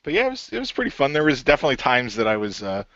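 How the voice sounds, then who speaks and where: A man chatting in a casual group talk, rambling with fillers and laughing often. His voice is bright and swings up and down a lot. The recording sounds dull and dry. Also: mid-range voice, wildly swooping pitch, rapid-fire, dry recording. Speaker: creator and game master of the audio drama.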